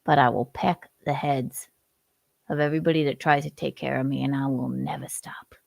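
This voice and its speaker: A woman's voice: very deep voice, steady tone, normal speed, gravelly, dry recording, pitched below the performer's own voice, full character voice. Woman putting on a gleeful villainous hawk. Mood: menacing. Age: 40s. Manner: gleeful, unrepentant, menacing boasts. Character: violent male hawk who hates bald men.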